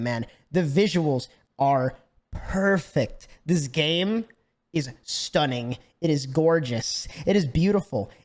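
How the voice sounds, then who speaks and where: Brief: man, slightly scratchy voice, casual animated game review, a bit high-pitched. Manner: animated, swears freely, frequent fillers and restarts. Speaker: gaming YouTuber.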